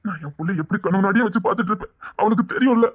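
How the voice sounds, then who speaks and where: A man's voice: high voice, very fast, lo-fi sound. An actor playing a grieving father who weeps and pleads for his missing child, repeating himself over and over. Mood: distraught. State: crying. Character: grief-stricken father, desperate and stubborn. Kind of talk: tearful serial drama acting.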